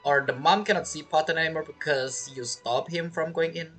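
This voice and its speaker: A woman's voice: deep voice, steady tone, fairly quick, music in the background. A woman giving casual, teasing commentary as she reacts to a game. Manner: joking, teasing. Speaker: game streamer.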